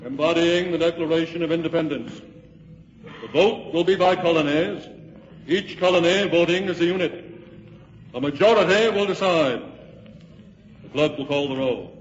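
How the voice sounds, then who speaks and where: An actor playing a formal congress president, speaking with official authority in 1940s radio drama style. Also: male voice, on the high side, normal speed, pauses often, close to an everyday voice. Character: formal congress president announcing voting procedure. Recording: muffled, faintly noisy old radio.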